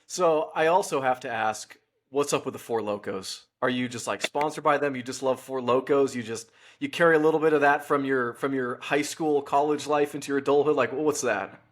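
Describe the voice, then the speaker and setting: Young guy hosting a podcast, friendly and chatty, laughs a lot, middle pitch. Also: brisk, few pauses. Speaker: podcast host. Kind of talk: casual podcast interview.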